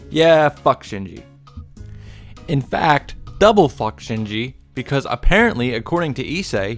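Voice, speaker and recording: A man's voice: medium pitch, fairly bright tone. A man recapping a visual novel as commentary, with sarcastic, sweary, exclamatory asides. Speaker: gaming YouTuber. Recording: music playing behind the voice.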